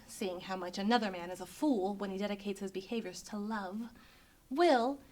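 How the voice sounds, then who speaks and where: Scornful, witty Benedick played by a woman, pitched down. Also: fairly bright tone, lightly acted. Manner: wry, mocking, musing. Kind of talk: Shakespearean stage monologue in prose.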